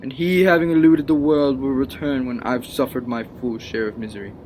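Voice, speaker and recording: A man's voice: mid-range voice, fairly even intonation, a little fast, background noise, lightly acted. An actor playing a tormented, guilt-ridden man of science, fervent and anguished in old-time radio drama style. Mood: desolate. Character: tormented, guilt-ridden male scientist haunted by his creation.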